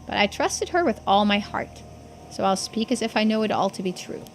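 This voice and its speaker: A woman's voice: mid-range voice, animated, fairly quick, soft background music, natural voice, poor audio. A woman voicing a folksy, earnest islander who explains old secrets in video game dialogue. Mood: grave.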